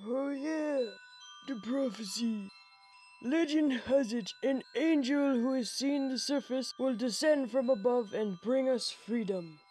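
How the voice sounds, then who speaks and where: Casting: woman as a monster lore-teller, soft-toned and a bit high, for a comic dub. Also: unhurried. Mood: storytelling. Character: knowing monster storyteller of an old prophecy. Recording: faint music behind.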